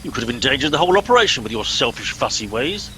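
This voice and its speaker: A man's voice: mid-range voice, very animated, rapid-fire, pushed and bright, a little hiss or hum, poor audio. A man playing a pompous, exasperated starship captain in a comic radio play. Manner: pompous, commanding.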